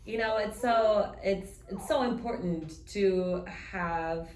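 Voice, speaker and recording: A woman's voice: medium pitch, slow pace, a little mellow, reverberant. A woman in her thirties from Germany, with a German accent tinged with a New Zealand accent, telling her story in casual chat with many ums. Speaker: guest.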